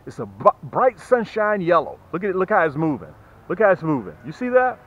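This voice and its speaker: A man hosting a fishing channel, giving a casual, folksy sales pitch to camera and repeating phrases for emphasis. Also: sing-song, fairly quick, highs cut off. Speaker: fishing channel host and tackle seller.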